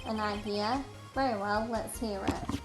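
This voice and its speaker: A woman's voice: medium pitch, fairly even intonation, a little slow, lightly acted. A woman with an English accent reading the lines of a stern older male judge from a courtroom game aloud, in a formal manner. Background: game music.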